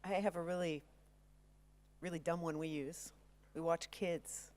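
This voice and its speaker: A woman's voice: sits low, level tone, a little mellow. Wry woman panelist with a handheld mic. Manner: quick, wry and self-deprecating. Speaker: panelist, ed tech CEO. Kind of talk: conference panel discussion. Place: large conference stage.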